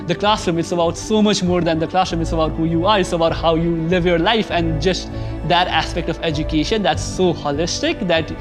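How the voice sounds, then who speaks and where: A man's voice: a little high, a little fast. Earnest male student over music. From Nepal. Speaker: college student. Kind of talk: interview clip in a promo video.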